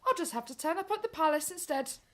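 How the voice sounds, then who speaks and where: A woman's voice: high voice, expressive, quick pace, a bit bright, voice pushed up. A woman voicing an eager, wistful young male servant, chattering away in a pantomime radio play. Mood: wistful, excited.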